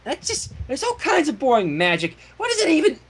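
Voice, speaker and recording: A silly, bouncy girl pony from a cartoon, as a man puts her on. The voice jumps high and swings about, flighty and full of exclamations. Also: huge pitch swings, a little fast, fairly bright tone, a little hiss or hum, heavily acted. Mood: excited.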